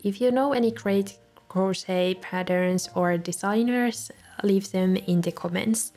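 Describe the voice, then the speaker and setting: A young woman, a native Finnish speaker, calmly narrating a voice-over for her video with soft laughs between remarks. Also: mid-range voice, measured pace. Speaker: journaling video creator. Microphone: voice-over recorded separately.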